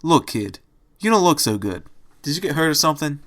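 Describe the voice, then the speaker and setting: A man voicing a gruff, bossy streetwise bunny in a storybook, with an Italian accent and brash slangy talk. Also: on the high side, big pitch swings, brisk, fairly bright tone, pitched above the performer's own voice. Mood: suspicious. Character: gruff bossy streetwise male bunny, tough-guy temper.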